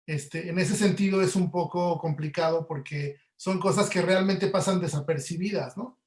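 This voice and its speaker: A man's voice: sits high, normal speed, a little mellow. A man with a Mexican accent, giving conversational answers as a guest audio producer in an online webinar. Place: indoor room, online webinar call. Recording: roomy, echoey sound.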